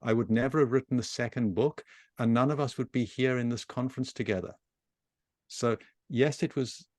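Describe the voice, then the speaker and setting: A man who writes books, speaking in a reflective, measured way as he hosts an online session. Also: mid-range voice, a little mellow, no room sound. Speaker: author and session host. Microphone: video call.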